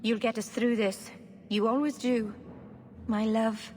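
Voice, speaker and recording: This is a gentle young woman in a video game, dreamy and trusting. Her voice is fairly high, tender and slightly hesitant. It rings with long reverb over faint ambient noise. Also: flat delivery, slow. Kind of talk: dramatic video game cutscene acting.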